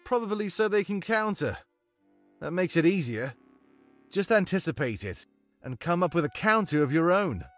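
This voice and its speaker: Man doing a high-voiced earnest young rebel leader. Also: sing-song, normal speed, fairly bright tone, faint music behind. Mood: composed, confident. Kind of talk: character voice in visual novel read-aloud.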